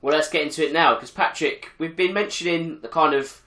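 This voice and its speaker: A man hosting a football podcast, hoarse from a chest infection, talking in an upbeat, chatty way. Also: on the high side, a little fast, bright, forceful tone, roomy sound. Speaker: podcast host. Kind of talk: casual football podcast chat.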